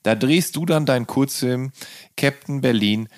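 A male interviewer chatting casually, often halting and correcting himself as he searches for words. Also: medium pitch, no room sound, clean studio sound. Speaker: interviewer, podcast host.

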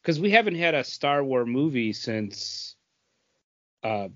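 A man of medium pitch chats casually as a podcast co-host. His delivery is informal and conversational, captured on a somewhat dull, low-fidelity recording. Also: sing-song, takes it slowly. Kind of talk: casual chat. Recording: dull, lo-fi audio.

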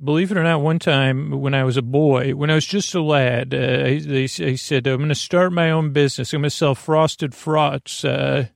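A man hosting a sleep podcast in a gravelly voice, with a meandering, stammering, reassuring bedtime monologue that keeps losing its thread. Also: medium pitch, steady tone, normal speed, few pauses, no echo, studio quality. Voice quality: creaky, gravelly.

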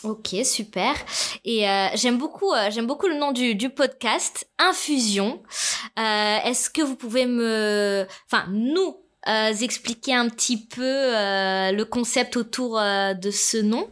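Woman with a higher voice asking friendly, upbeat interview questions on a podcast. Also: takes it slowly, a bit bright. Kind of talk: casual interview.

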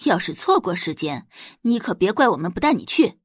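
Irritable mother for audio drama, performed by a woman: huffy, scolding, contemptuous, slightly husky, widely swinging intonation. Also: mid-range voice, big pitch swings, a little fast, dry recording, studio quality. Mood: impatient.

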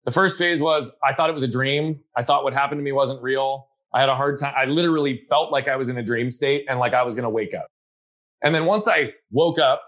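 A man's voice: mid-range voice, fairly bright tone. A man in a conversational interview, speaking in an earnest, reflective way and often checking in with "right?". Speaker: guest.

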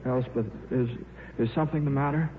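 An actor playing a shy, absent-minded but stubborn scientist and gentle father in a radio drama, hesitant and repeating himself. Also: mid-range voice, steady tone, fairly quick, lightly acted. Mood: gentle, worried. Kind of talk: 1950s American radio drama acting. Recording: muffled, noisy old radio broadcast.

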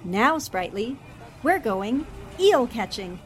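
A woman's voice: fairly high pitch, big pitch swings, slow pace, a little hiss or hum. An actress playing a determined, poised and polite newspaperwoman in a 1940s radio drama. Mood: cool, coy.

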